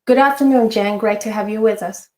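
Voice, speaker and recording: A woman's voice: medium pitch, brisk, a little mellow. A woman hosting a finance webinar, giving a polite, partly scripted introduction with some hesitations. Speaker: webinar host. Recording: roomy echo.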